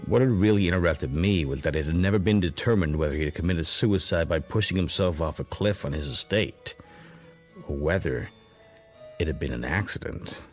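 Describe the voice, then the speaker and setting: An actor playing a shrewd, suspicious middle-aged man who narrates his private thoughts in a measured, dry, musing way for a radio drama. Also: sits low, soft background music, close to an everyday voice. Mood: wry musing. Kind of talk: intimate first-person radio drama narration.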